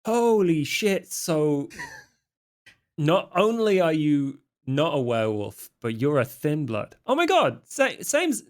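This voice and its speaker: A man's voice: fairly high pitch, sing-song, slow. Man improvising in a tabletop game. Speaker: tabletop roleplay player. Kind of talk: casual improvised roleplay banter.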